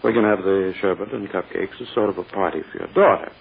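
An actor playing a smug, courting prosecutor with an unctuous, self-important, drawn-out manner in 1940s radio style. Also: male voice, sits low, creaky. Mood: smug, coaxing. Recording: muffled, hissy old radio recording.

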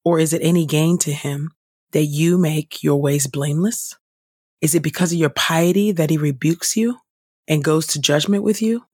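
A woman reading scripture aloud for a devotional podcast in a measured, deliberate, formal way. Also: sits low, darkish tone, slightly rough, no room sound, clean studio sound. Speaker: scripture reader and podcast host.

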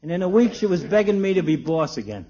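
Folksy wisecracking barber, high lively voice, old radio sitcom, muffled sound. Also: male voice, quick pace. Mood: sly, teasing. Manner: talkative, folksy slangy wisecracking. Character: chatty, folksy small-town barber, cheeky married man. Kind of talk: 1950s radio situation comedy acting.

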